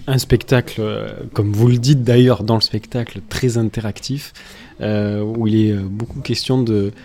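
Radio interviewer dude, lots of umms while asking. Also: male voice, on the low side, steady tone, normal speed, a little mellow. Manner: hesitant questions, many fillers.

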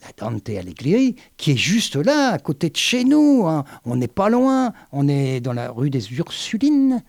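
A man hosting a radio interview in the studio, talkative and lively, with many fillers. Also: a little high, measured pace, vocal fry. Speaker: radio host.

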